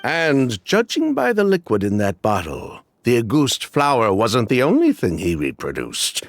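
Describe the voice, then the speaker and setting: An actor playing a smooth, evasive middle-aged former perfume swindler in a video game. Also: male voice, medium pitch, huge pitch swings, measured pace, no echo. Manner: evasive, chatty, with dismissive laughs.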